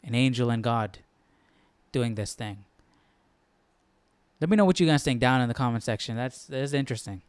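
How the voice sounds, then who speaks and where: Clear-voiced man musing on a livestream. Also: mid-range voice, moderate pace, pauses often. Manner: musing aloud, questioning. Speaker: host of a live Bible study. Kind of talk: casual livestream commentary.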